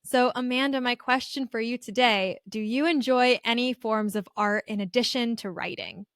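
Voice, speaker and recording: A woman's voice: fairly high pitch, normal speed, a bit bright, smooth, no echo, studio quality. A woman co-hosting a podcast in friendly, informal conversation. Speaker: podcast co-host.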